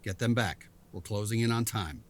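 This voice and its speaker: A man's voice: fairly low pitch, normal speed, close to an everyday voice. A man acting in an audio drama as a stern, impatient mission commander who speaks in terse orders. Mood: stern, pressed. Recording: music under the voice.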